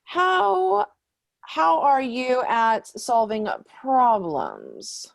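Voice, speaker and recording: Woman playing a posh, fussy British lady, gravelly and swooping. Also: high-pitched, huge pitch swings, heavily acted. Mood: fussy, hesitant. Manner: hesitant, polite, drawn-out affected gentility. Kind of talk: improvised comedy sketch.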